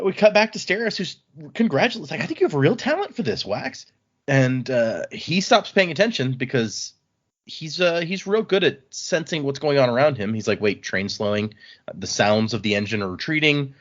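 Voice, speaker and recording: Male podcast host, casual chatty recap; slightly dull audio. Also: medium pitch. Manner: chatty, loose summarizing with fillers.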